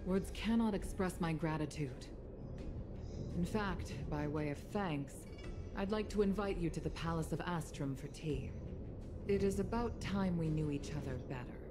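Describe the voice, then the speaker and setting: An actress plays a dignified, stern mother of high rank in a fantasy game. Her voice is low, with formal, measured phrasing. Music plays clearly underneath. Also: natural voice. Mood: formal, gracious. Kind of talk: polished video game voice acting.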